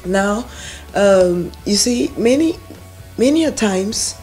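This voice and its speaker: A woman's voice: medium pitch, expressive, takes it slowly, darkish tone, poor audio. A woman interviewing a guest, asking questions and adding short encouraging affirmations. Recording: faint music behind.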